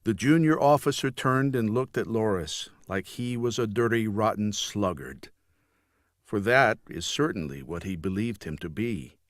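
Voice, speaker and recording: A man narrating scripted fiction as an audiobook reader, with grave, measured storytelling. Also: fairly low pitch, lively intonation, dry recording, clean studio sound.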